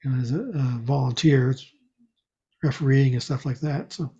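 A man chatting casually and telling personal stories in a wry, unhurried way. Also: medium pitch, steady tone, mellow, husky. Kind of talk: casual chat, personal storytelling. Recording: dull, muffled lo-fi sound.

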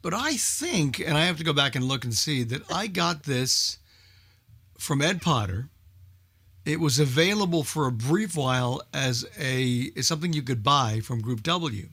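Higher-pitched man chatting, dry close sound. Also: expressive, moderate pace, bright, forceful tone, no echo. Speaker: regular of an online radio club meeting. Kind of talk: casual chat.

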